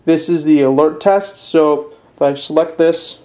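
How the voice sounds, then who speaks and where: A man giving a casual step-by-step product tutorial in a plain, unpolished, slightly halting way. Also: fairly high pitch, very noisy. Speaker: presenter of a how-to video.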